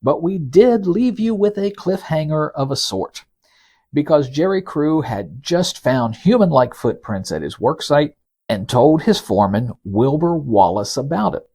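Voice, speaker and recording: Gravelly, husky man telling folksy stories. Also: a little high, big pitch swings, unhurried, mellow. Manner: folksy, teasing, rhetorical asides to the listener. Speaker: podcast host and narrator. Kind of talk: conversational scripted storytelling, reading quotes.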